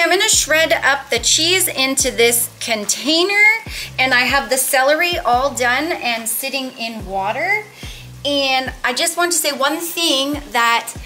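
A woman's voice: fairly high pitch, bright, forceful tone. A woman in her thirties chatting casually as she walks through her meal prep in a home kitchen, upbeat with cutesy words. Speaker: vlogger.

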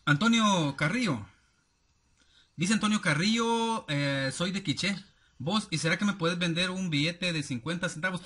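Male vlogger, thirties, Guatemalan accent. Slightly high, husky voice; loose, familiar delivery while reading viewer comments aloud. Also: moderate pace, bright, forceful tone. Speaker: YouTube vlogger. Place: small cluttered room indoors at home.

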